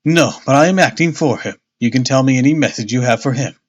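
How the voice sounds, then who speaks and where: Man reading as a polite, firm gentleman companion. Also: medium pitch, fast talker, lightly acted.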